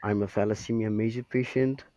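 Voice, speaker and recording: A man's voice: on the low side, fairly even intonation, a little fast, mellow, dry recording. A man, a patient advocate introducing himself earnestly. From Nepal. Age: 20s.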